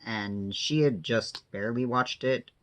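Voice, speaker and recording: A man's voice: medium pitch, a little slow, a bit bright, a little hiss or hum. A man chatting casually and informally as he hosts a craft vlog, rambling a little and correcting himself as he goes. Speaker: host of a diamond painting vlog. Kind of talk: casual chat while working on a craft.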